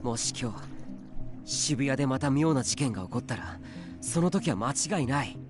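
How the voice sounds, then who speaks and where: A male actor playing an earnest, insistent teenage schoolboy sleuth in a Japanese visual novel, speaking deliberately. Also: medium pitch, expressive, music in the background, natural voice.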